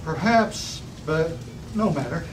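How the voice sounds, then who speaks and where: A man's voice: fairly high pitch, animated, slow. A man reading the part of an effusive, vague scientist professor at a table read. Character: distracted, exuberant male scientist professor, oddly vague. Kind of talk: script table read of a sci-fi serial. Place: busy restaurant table, far camera. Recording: distant mic, noisy restaurant room.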